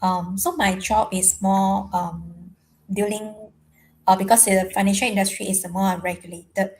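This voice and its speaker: A woman's voice: medium pitch, steady tone, a little slow, a little mellow, rough recording. A woman introduces herself as co-host of an online session, hesitant with frequent ums. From Malaysia.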